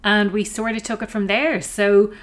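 A woman's voice: medium pitch, level tone, normal speed. Upbeat woman hosting a podcast. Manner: chatty, upbeat, loose phrasing. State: recovering from illness, slightly hoarse. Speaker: podcast host. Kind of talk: podcast interview.

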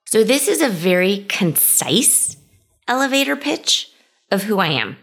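A woman's voice: medium pitch, very animated, a little slow, vocal fry, fairly bright tone, slightly rough. A woman hosting her own podcast, talking through ideas in a conversational, reflective way and starting many sentences with "so". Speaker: podcast host. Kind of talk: solo podcast monologue.

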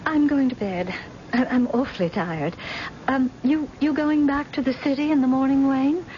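An actress playing an anxious, troubled wife with a hesitant delivery in a 1970s radio drama. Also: female voice, high-pitched, normal speed, natural voice. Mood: awkward, polite. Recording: muffled, noisy old radio recording.

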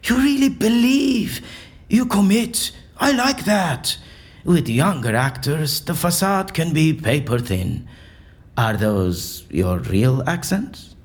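An actor playing an eccentric, erudite man in an audio drama, speaking in a florid, pompous and animated way. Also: on the high side, takes it slowly. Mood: haughty, playful. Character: eccentric, theatrical, erudite man, convinced his interrogation is staged.

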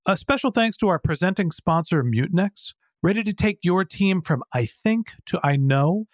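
A man's voice: high voice, lively intonation, normal speed, no echo. American man reading a podcast ad, dry sound. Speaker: podcast host reading a sponsor spot. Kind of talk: scripted ad read.

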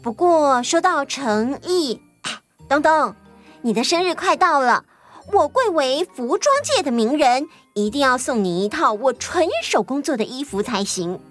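A woman's voice: high voice, expressive, measured pace, fairly bright tone. A woman voicing a chatty, boastful, whiny friend in a children's audio story, full of exclamations and self-importance. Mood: boastful, bubbly. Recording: music under the voice.

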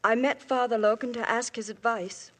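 A woman's voice: a little high, steady tone, moderate pace, a bit bright. A woman playing a married woman questioned by police in a 1950s radio drama, earnest and emotional, her voice recalling the past. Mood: defensive. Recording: old radio broadcast.

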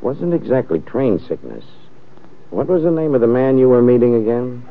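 A man's voice: mid-range voice, expressive, normal speed, close to an everyday voice. A man playing a sardonic, probing police lieutenant in an old-time radio drama, dry and needling. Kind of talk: 1940s-50s radio drama acting. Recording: tinny, muffled old-time radio recording.

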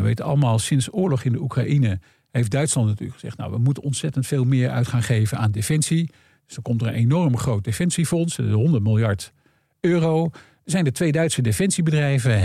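A man's voice: mid-range voice, a little fast, creaky, warm tone, husky, dry recording. Man explaining in a dry-sounding room. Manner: acts out imagined dialogue while explaining. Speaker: guest analyst explaining earnings calls. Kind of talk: conversational explanation with anecdotes.

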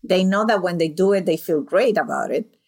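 A woman's voice: lowish voice, fairly quick, darkish tone. A woman host from Venezuela, asking questions in a curious, reflective way. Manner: curious, reflective, restarts phrases. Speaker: podcast host. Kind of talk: conversational interview.

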